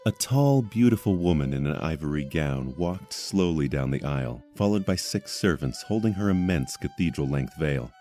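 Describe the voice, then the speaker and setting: A man's voice: a bass voice, expressive, moderate pace, hardly any pauses, warm tone, soft background music. A man narrating a scripted fantasy story as the storyteller of an audio drama.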